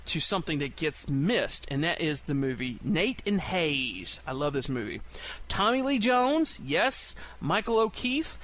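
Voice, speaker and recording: A man with a somewhat higher-pitched voice talks as a podcast host. His delivery is a casual monologue, enthusiastic and chatty, with emphatic stress on the points he cares about. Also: bright, forceful tone.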